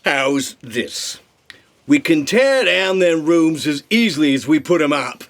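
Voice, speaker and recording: Man playing slow, high-voiced thuggish bloodsucker debt collector. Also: lively intonation. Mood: menacing. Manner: slangy working-class tough talk, menacing. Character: thuggish male bloodsucker creature collecting debts. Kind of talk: comic audio drama acting.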